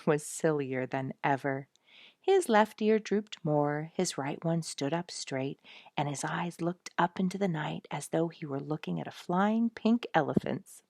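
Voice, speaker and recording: Female narrator, lower register, expressive children's storytelling, very dry recording. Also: no room sound. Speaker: audiobook narrator.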